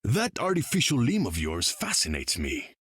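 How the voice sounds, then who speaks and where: An imperious ruler of the deep for a video game. His husky voice swoops through grand, formal phrases with pompous pride, recorded close and dry. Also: wildly swooping pitch, moderate pace, a bit bright, no echo, full character voice. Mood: proud, aloof. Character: haughty imperious undersea king, proud conqueror. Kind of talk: video game character dialogue.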